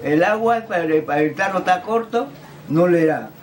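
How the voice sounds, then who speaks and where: A man explaining instrument tuning in a halting, practical way in a documentary interview. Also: sits high, animated, recorded from a distance, a little hiss or hum.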